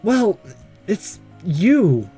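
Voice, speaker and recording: A man voicing an earnest, nervous, lovestruck male high school student in a visual novel, speaking hesitantly. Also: sing-song, extremely slow, mellow, slightly rough, soft background music, exaggerated voice. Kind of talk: reading visual novel dialogue aloud.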